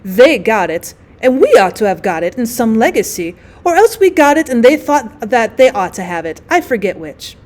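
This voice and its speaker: A genteel society lady from a classic short story, acted out by a woman reader, haughty and drily witty. Her fairly high voice rises and dips freely as she recounts her grievances with wordy emphasis. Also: very animated, normal speed, hardly any pauses, darkish tone. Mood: indignant.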